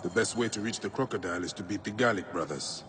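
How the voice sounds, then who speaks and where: A man's voice: fairly low pitch, fast talker, close to an everyday voice. A man playing a stern, vengeful warrior in a video game, speaking with terse, solemn determination. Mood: brooding, determined. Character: stern, brooding, vengeful warrior turned gladiator. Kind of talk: video game cutscene acting. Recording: loud background noise.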